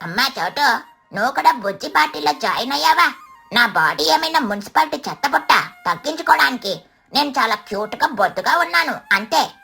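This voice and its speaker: A woman acts out a chubby, greedy boy parrot from a children's cartoon. The voice is high and lively, with a whiny, boastful edge. Music plays behind it. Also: brisk, gravelly, slightly rough, full character voice. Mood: indignant. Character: gluttonous chubby young boy parrot, huffy and cheeky. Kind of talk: children's cartoon story acting.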